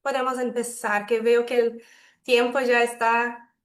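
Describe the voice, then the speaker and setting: A woman from Brazil, speaking as a second language with a Brazilian Portuguese accent, moderating a webinar in a measured, careful way. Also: fairly high pitch, little pitch movement, roomy sound. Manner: measured, careful, self-correcting. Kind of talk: formal opening remarks. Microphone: video call.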